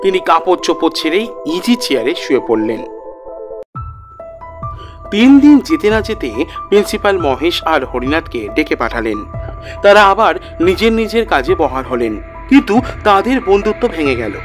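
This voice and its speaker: A man's voice: a little high, very animated, moderate pace. A man narrating a scripted literary audio story as the storyteller. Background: background music.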